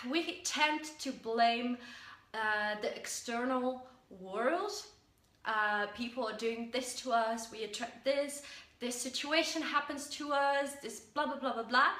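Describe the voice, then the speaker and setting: A woman's voice: on the high side, pushed and bright, reverberant. A young woman whose first language is Dutch, with a Dutch accent, giving an earnest, hesitant self-help talk to camera with many fillers and restarts. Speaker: vlogger.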